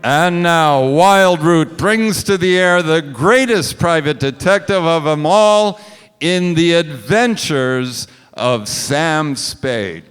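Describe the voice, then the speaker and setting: A man's voice: a little high, takes it slowly. A man announcing in a theatrical, emphatic 1940s radio style, reading scripted introductions and advertising copy. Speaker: radio play director and announcer. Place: stage of a large hall. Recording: echoey stage hall, music behind.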